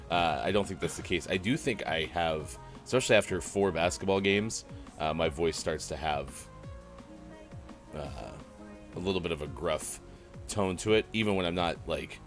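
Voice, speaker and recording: A man hosting a live morning show in easygoing, self-mocking banter, his voice a bit rough today. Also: low-pitched, normal speed, fairly bright tone, faint music behind. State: slightly rough, gruff voice. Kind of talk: casual live-stream morning show chat.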